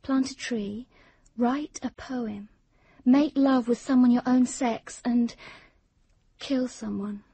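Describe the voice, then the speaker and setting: An intense young lover in a radio play. Her voice is high, soft and mellow, with a breathy edge. She speaks solemnly and insistently, full of dark romantic obsession, very close and dry. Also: steady tone, takes it slowly, no room sound, exaggerated voice. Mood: ardent, earnest. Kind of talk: radio drama acting.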